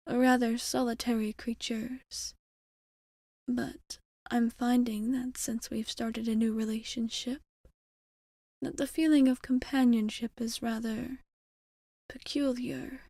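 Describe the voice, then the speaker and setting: A woman playing a refined, teasing, centuries-old vampire bartender in a soft ASMR roleplay, half-whispered, with formal, coy phrasing. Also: fairly high pitch, no echo.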